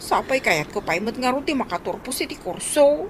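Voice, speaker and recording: An actress with a Filipino Ilocano accent playing a worried, pleading young student from a poor family in a radio drama. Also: female voice, fairly high pitch, lively intonation, normal speed, close to an everyday voice. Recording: noisy radio drama recording.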